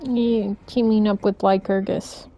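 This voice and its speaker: Mellow-voiced woman streamer. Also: medium pitch, fairly even intonation, measured pace, soft background music. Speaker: game livestreamer. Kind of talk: casual commentary.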